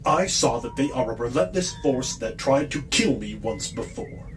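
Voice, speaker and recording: A man's voice: mid-range voice, expressive, normal speed, faint music behind, close to an everyday voice. A man acting an embittered alien villain leader in an audio drama, intense and commanding. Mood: bitter, vengeful.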